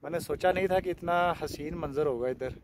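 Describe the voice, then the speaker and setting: A man in his thirties narrating a casual travel vlog outdoors on a handheld phone. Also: fairly high pitch. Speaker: travel vlogger. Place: outdoors in an open mountain valley. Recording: windy outdoor phone audio, loud noise.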